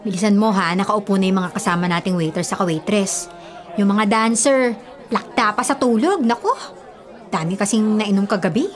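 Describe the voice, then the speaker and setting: A woman's voice: medium pitch, normal speed, lightly acted. A woman acting a chatty, down-to-earth, encouraging bar waitress in a Filipino radio drama. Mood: coaxing. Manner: chatty, coaxing. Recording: music and crowd chatter behind.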